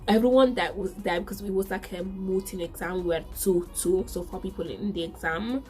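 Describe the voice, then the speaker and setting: A woman's voice: mid-range voice, brisk, a little mellow, soft background music. A woman in her twenties with an Italian accent, whose first language is Italian, telling a casual story to camera in a chatty way that repeats itself. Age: 20s. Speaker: vlogger.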